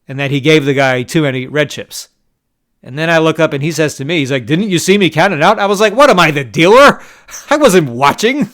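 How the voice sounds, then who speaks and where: A man's voice: medium pitch, a bit bright. A man from New York telling poker table stories in a wry, exasperated way, with short laughs. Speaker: poker vlogger. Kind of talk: casual storytelling monologue.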